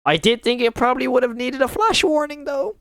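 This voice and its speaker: A man's voice: extremely high pitch, animated, fairly quick, a bit bright, no room sound, clean studio sound. A man hosting a reaction video, giving casual, rambling, informal commentary with some mild swearing.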